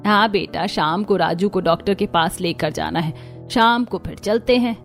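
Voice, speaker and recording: A woman's voice: medium pitch, a little slow, faint music behind, close to an everyday voice. A woman playing a careworn, resigned mother of a poor family in a cartoon story, earnestly explaining. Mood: gentle. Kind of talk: Hindi cartoon story voice acting.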